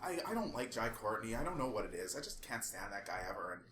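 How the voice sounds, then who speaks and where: A man's voice: lowish voice, big pitch swings, fast talker, a bit bright. Man podcasting in an echoey room. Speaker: podcast co-host. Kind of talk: casual podcast chat about movies and games. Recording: echoey room with background noise.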